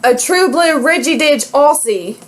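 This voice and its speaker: A woman's voice: high-pitched, animated, a little slow, noisy background. A young woman co-hosting a casual chat show, giggly and rambling, with lots of fillers. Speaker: podcast co-host.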